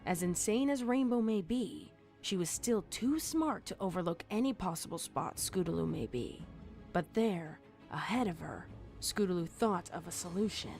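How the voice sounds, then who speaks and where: A woman's voice: on the low side, animated, normal speed, darkish tone. A woman reading scripted horror fiction as a storyteller. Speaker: narrator. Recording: music under the narration. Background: music.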